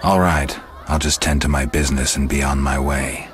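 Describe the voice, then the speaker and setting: An actor playing a gruff, stoic monster hunter for hire in a video game, speaking in a terse, deadpan way. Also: male voice, a bass voice, normal speed, soft background music, some chatter behind. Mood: curt, firm. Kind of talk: video game dialogue acting.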